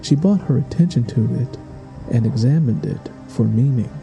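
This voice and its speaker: Male audiobook narrator, soft mellow tone, dramatic scripted storytelling, music underneath. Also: mid-range voice, animated, normal speed, vocal fry, rough recording.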